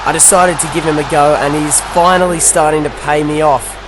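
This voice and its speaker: Croaky-voiced man commentating a game. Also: on the high side, steady tone, a little fast, background noise. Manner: casual play-by-play recap. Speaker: gaming commentator. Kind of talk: video game match commentary.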